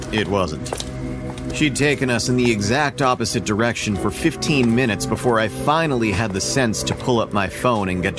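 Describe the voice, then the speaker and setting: An actor as a wry, low-voiced storyteller. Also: male voice, lightly acted. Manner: dry, sardonic storytelling. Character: wry man narrating his own ordeal. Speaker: first-person narrator. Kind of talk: scripted horror audio drama narration.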